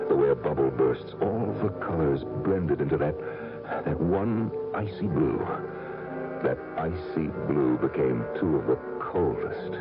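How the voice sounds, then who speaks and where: An actor playing a troubled, defensive fortune-hunting husband in a 1970s radio drama, hesitant and agitated. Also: male voice, rumbling low, heavily acted. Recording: dull 1970s radio recording, loud music.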